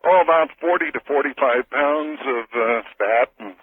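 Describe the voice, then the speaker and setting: An American man calling a radio show, giving a personal account in a measured, matter-of-fact way with pauses. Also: mid-range voice, breathy edge. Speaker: phone-in caller to a radio show. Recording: thin telephone line on radio.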